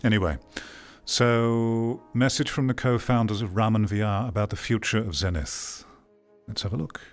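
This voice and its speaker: A man's voice: on the low side, fairly even intonation, normal speed, faint music behind. A British man giving casual, critical reaction commentary in a blunt, sardonic manner.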